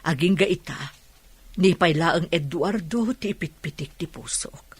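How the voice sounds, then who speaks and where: A woman playing a wistful older sister with a husky voice in a radio drama. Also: lowish voice, animated, measured pace, no room sound, exaggerated voice. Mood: wistful, tender. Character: wistful older sister longing for lost love.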